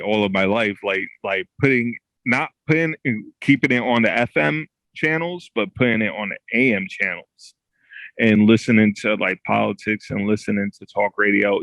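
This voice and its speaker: Male guest, low pitch, dry close mic, halting delivery with frequent restarts. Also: fairly bright tone, no room sound, low-quality recording. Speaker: podcast guest, comic creator. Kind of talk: casual interview chat.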